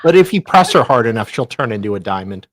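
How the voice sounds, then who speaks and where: Someone putting on a husky, swooping, mock-indignant sidekick. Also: mid-range voice, sing-song, quick pace, no room sound. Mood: playful. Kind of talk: comic dramatic banter on a livestream.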